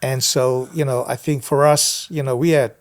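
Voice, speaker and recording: A middle-aged man with a South African accent, telling personal stories as an interview guest in an earnest, measured, reflective way. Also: mid-range voice, steady tone. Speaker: guest missionary and visiting preacher. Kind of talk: conversational personal storytelling in an interview.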